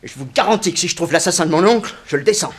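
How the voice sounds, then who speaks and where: A bitter young ex-convict in an old French radio play. His voice sits fairly high, and he speaks with dramatic intensity as someone wronged. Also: expressive, rapid-fire, husky. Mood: bitter, determined.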